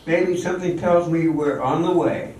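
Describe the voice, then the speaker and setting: A man's voice: fairly high pitch, moderate pace, loud music behind the voice, close to an everyday voice. An older man playing a bossy, hopeful song-and-dance showman, giving emphatic instructions in an amateur radio play. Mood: determined. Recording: echoey hall, distant mic, faint noise.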